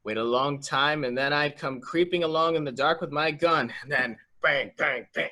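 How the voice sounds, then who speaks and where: A man's voice: a little high, moderate pace, bright, forceful tone. Childlike, guileless young man played by an actor. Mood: boastful, eager. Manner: guileless, rambling, repetitive. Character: childlike, simple, excitable young man fearing being locked up. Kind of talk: dramatic reading of murder mystery.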